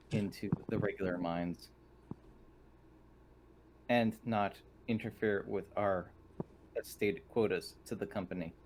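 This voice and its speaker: Male tabletop player, lowish pitch, casual discussion, faint background noise. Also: a little mellow.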